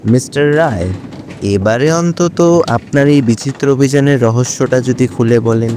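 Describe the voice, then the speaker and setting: A man's voice: medium pitch, unhurried, dark tone, a little hiss or hum, close to an everyday voice. A male actor playing a puzzled, curious police officer in an audio drama, speaking in a questioning manner. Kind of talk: Bengali audio drama dialogue.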